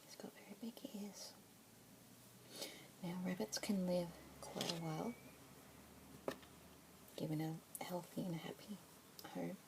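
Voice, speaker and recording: A young woman with an Australian accent whispering softly and breathily as a pet adoption specialist in an ASMR roleplay, with gentle murmured "mm-hmm" fillers. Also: lowish voice, steady tone, takes it slowly, pauses often. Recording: close whisper mic with clear background hiss.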